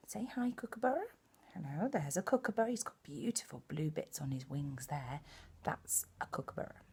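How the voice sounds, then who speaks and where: A woman reading a picture book to children like a primary teacher, expressive and exclaiming, with chatty asides. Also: deep voice, husky. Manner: expressive, exclaiming, with gasps and hushed dramatic moments.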